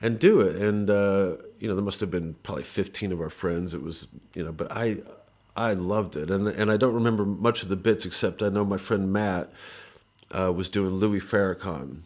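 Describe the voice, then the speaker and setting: Rambling low-voiced comedian from Missouri. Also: male voice, normal speed, darkish tone. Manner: rambling, anecdotal, restarts sentences. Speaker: comedian, guest. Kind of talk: casual podcast conversation.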